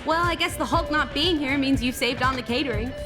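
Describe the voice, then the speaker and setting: An actress playing a breezy superheroine in a video game, casual and dryly teasing. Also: female voice, high-pitched, fairly quick, natural voice. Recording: loud music underneath.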